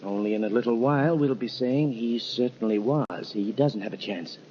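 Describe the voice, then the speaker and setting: A man plays a sober, matter-of-fact physician in a 1940s-style radio crime play. His voice sits at a medium pitch and stays grave. The old recording is dull and slightly noisy. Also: normal speed, close to an everyday voice. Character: matter-of-fact male hospital doctor.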